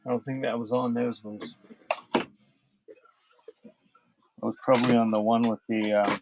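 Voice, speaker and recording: A man's voice: mid-range voice, little pitch movement, measured pace, noisy background. A man hosting a casual live sale, reading out titles and chatting off the cuff with viewers. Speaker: online seller hosting a live cassette sale. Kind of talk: casual live-stream chat while reading out album titles.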